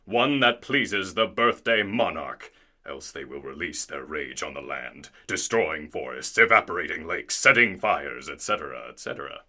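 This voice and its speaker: A man's voice: very deep voice, expressive, normal speed, bright, forceful tone, full character voice. A man playing a theatrical, put-upon narrator in a scripted radio drama. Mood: grandly ominous.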